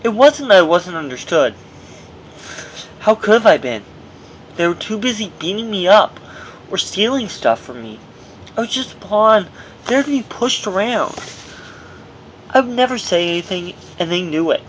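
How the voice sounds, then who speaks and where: A man's voice: high-pitched, big pitch swings, moderate pace, pauses often, a bit bright, lo-fi sound, a little hiss or hum. A man reading his own memoir aloud as narration, in a personal first-person delivery with dramatic emphasis, on an amateur recording.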